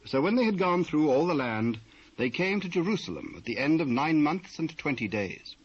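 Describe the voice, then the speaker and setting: A man with a British accent narrating dramatised scripture in a measured, formal manner. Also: a little high, sing-song. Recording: muffled old cassette transfer.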